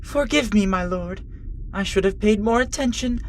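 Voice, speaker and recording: A woman's voice: mid-range voice, moderate pace, a little hiss or hum, close to an everyday voice. A woman playing a submissive, deferential military underling to an emperor in a fantasy cartoon, with a pleading manner. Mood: anxious pleading. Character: timid, submissive pregnant female military underling. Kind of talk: animated fantasy character acting.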